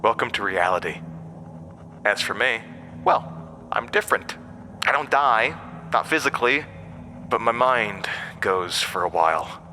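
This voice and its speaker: An actor playing an ancient monster, a persuasive, scornful tempter who speaks with theatrical, deliberate emphasis in a horror audio drama. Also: male voice, on the low side, lively intonation, sharp tone, a little hiss or hum, exaggerated voice. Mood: sly, conspiratorial.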